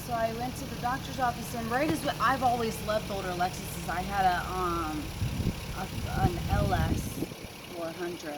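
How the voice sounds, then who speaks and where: A woman casually telling a story in an animated way, acting out dialogue, swearing and laughing as she talks. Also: medium pitch, normal speed. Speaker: guest telling a personal story. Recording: noisy car, engine and road noise.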